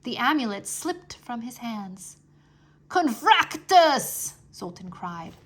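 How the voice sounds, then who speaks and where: Slow, high-voiced woman reading a storybook. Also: expressive, a bit bright, husky. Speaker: storyteller reading a children's book aloud. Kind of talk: expressive scripted narration.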